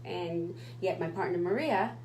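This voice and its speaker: A woman's voice: fairly low pitch, unhurried, a little mellow, reverberant, slight background noise, low-quality recording. A woman co-hosting a radio talk show, speaking in an emphatic, motivational way. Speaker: radio co-host.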